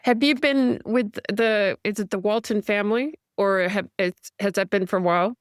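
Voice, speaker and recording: A woman hosting a podcast, asking her guests questions in casual interview talk with quick prompting asides. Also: medium pitch, smooth, dry recording, clean studio sound. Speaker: podcast host.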